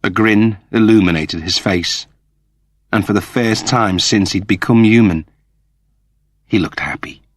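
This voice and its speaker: A man reading a story as an audiobook narrator, in an expressive scripted style. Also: fairly low pitch, unhurried, pauses often, dry recording.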